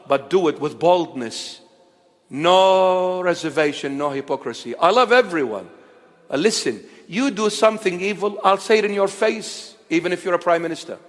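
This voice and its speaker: Impassioned high-voiced male preacher. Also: long reverb tail. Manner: impassioned, repetitive, swings between low murmurs and shouting. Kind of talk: fiery sermon. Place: in Australia.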